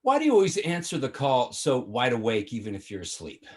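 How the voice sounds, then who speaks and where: A man's voice: medium pitch, huge pitch swings, fairly quick. A man reading a sitcom dad in his sixties who needles his son, over a video call. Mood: teasing, nagging. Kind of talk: sitcom script table read. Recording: video call, somewhat distant mic.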